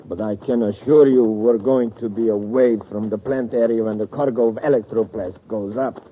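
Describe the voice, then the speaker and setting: A man's voice: on the low side, steady tone, normal speed, close to an everyday voice. An actor playing a vengeful, scheming male saboteur ringleader, curt and commanding, in an old-time radio drama. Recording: tinny, faintly hissy old radio recording.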